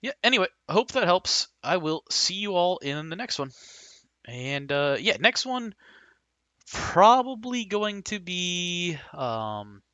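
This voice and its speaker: A man narrating a casual game guide over screen footage, rambling and hesitant with frank opinions, his voice slightly hoarse. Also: high voice, big pitch swings, slow pace, sharp tone, no echo.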